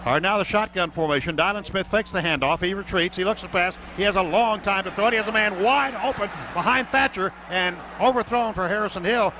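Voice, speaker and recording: A man's voice: high voice, quick pace, hardly any pauses. A man with an American accent calling play-by-play live as a football radio announcer. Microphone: broadcast booth microphone. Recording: dull radio broadcast, loud stadium background noise.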